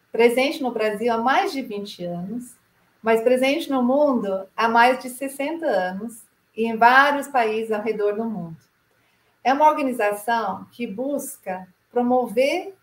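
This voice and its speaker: A woman from Canada talks at a measured pace in a slightly high voice, with a Canadian English accent. She is presenting in her second language and sometimes restarts a phrase. The room around her sounds echoey. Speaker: director of a play advocacy association. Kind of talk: presentation talk to camera.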